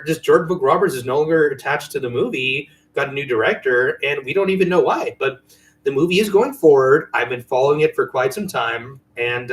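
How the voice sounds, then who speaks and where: A man's voice: fairly high pitch, a bit bright, poor audio. A man hosting a casual podcast chat about games and movies, with a playful, jokey way of talking. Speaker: podcast host.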